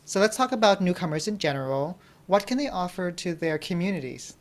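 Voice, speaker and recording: A man hosting an interview podcast, reacting with warm enthusiasm and asking questions. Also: sits high, big pitch swings, brisk. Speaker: podcast host.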